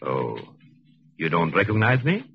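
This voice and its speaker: A low-voiced, coldly vengeful husband. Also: male voice, huge pitch swings, slightly rough, exaggerated voice. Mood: coldly polite. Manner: deliberate, menacing, gloating. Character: vengeful, coldly triumphant wronged husband, English ex-convict. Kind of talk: 1940s radio suspense acting. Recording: muffled 1940s radio recording with music.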